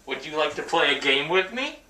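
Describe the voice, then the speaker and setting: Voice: mid-range voice, big pitch swings, brisk, sharp tone. Echoey, wildly swooping Lady Fortune, played in a skit. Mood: playful, inviting. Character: Lady Fortune, a capricious, playful personified goddess of luck. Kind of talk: amateur comedy skit acting. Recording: echoey, far from mic, noisy background.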